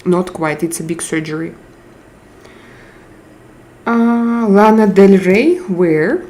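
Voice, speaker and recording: A woman with a Russian accent hosts a relaxed live chat, reading viewer comments aloud. She speaks slowly and hesitates often as she looks for words in English. Her voice sits at an ordinary middle pitch. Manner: slow, searching for English words with frequent hesitations.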